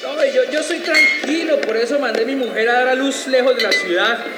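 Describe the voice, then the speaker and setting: Actor as uneasy, high-voiced Colombian lieutenant in echo. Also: male voice, fairly quick, loud music behind the voice. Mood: uneasy, deferential. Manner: hesitant, repeats himself. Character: uneasy, deferential army lieutenant, troubled husband. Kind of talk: live radio drama reading. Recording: reverberant noisy hall.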